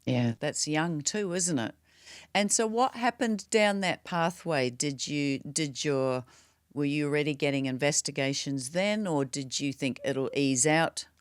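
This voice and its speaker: A woman with a very low, smooth, resonant voice hosts a podcast interview. She welcomes her guest warmly and asks follow-up questions, and she sometimes restarts mid-sentence. The sound is dry and close, with no room echo. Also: measured pace. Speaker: podcast host.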